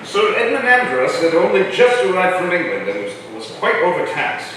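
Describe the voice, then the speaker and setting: A man's voice: sits high, huge pitch swings, moderate pace, bright, forceful tone, full character voice. A man playing an indignant, declaiming colonial townsman in a staged reading of a historical play. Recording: very echoey room, distant, faint noise.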